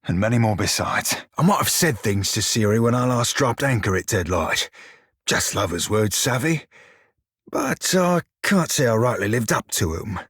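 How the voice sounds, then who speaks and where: Husky rogue for a video game, sardonic sailor drawl, rough edges, real dry close recording. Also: male voice, on the low side, expressive, normal speed, a bit bright, dry recording. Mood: rueful, teasing. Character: roguish blue shark-kin pirate, salty, sardonic, foul-mouthed. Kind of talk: video game character dialogue.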